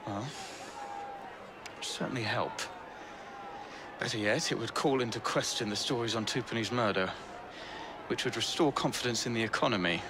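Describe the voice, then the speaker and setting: A man's voice: medium pitch, moderate pace, long pauses, natural voice. An actor voicing a worried senior statesman, polite and explanatory. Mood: concerned. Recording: game cutscene with heavy street noise.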